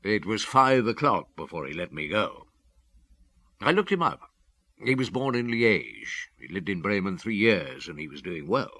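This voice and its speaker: A detective in a radio play. His voice is deep yet bright and forceful, and he reports in a clipped, factual way. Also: big pitch swings, moderate pace, no echo, exaggerated voice. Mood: matter-of-fact. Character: matter-of-fact police detective recounting his investigation. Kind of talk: radio drama acting.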